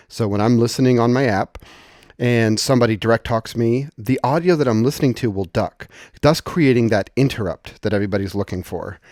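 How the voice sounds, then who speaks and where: A man with a medium-pitched voice walks through software in an informal, conversational way. He explains things as a tutorial presenter would, thinking aloud. The sound is dry, with very little room in it. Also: no room sound.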